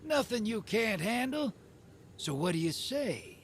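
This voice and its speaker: A man's voice: sits high, sing-song, a little slow, a little hiss or hum. A man voicing a wry, cocky scavenger and schemer in a video game, with a folksy, teasing drawl. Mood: sly, encouraging.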